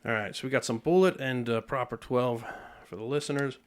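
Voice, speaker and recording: A man hosting a podcast in a studio, relaxed and jokey as he chats with his guests. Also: mid-range voice, fairly quick. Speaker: podcast host. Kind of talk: casual podcast chat. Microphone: studio mic.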